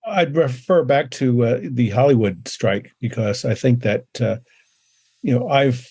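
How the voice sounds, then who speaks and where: Male panelist, mellow dark tone, hesitant, thinks aloud with plenty of fillers. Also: medium pitch, measured pace, slightly rough. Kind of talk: casual panel discussion.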